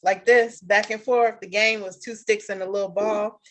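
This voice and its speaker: A woman in casual chat recapping a TV episode, animated and acting out the characters' lines as she goes. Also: medium pitch, moderate pace, a little hiss or hum. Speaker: co-host of a TV show review.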